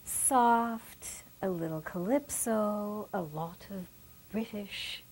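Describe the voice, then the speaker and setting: A woman with a soft voice telling a personal family story, hushed and lingering, with sharp emphasis on key words. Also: medium pitch, takes it slowly, low-quality recording. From Bermuda.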